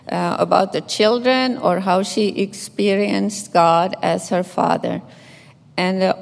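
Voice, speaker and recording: An older woman from Finland with a Finnish accent, a nun reading scripture and giving a gentle, measured memorial tribute. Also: medium pitch, gravelly. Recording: echoey hall reverb.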